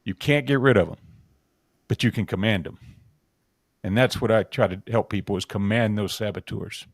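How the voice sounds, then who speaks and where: A man's voice: on the low side, moderate pace, no echo, clean studio sound. A man guest on a talk show, telling a personal story in an earnest manner. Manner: earnest, storytelling, laughs easily.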